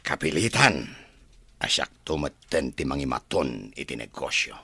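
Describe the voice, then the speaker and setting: A man with an Ilocano Filipino accent playing a scheming, lovelorn, hot-tempered boss in a radio drama, rough-voiced. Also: very deep voice, sing-song, moderate pace, bright, forceful tone, dry recording, heavily acted.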